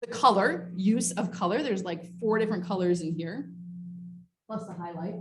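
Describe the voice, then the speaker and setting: A woman's voice: medium pitch, expressive, normal speed, noisy background. A woman presenting a training session in an explanatory, informal way, part prepared and part off the cuff. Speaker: workshop presenter. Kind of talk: semi-scripted training presentation with informal asides.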